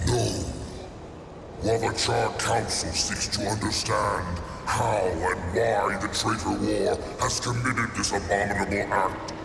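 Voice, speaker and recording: An actor voicing an ancient supernatural council judge in a video game, booming, solemn and imperious, with archaic formal speech. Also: male voice, fairly even intonation, unhurried. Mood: stern, imperious. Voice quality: gravelly, booming. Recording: cavernous reverb with loud music behind.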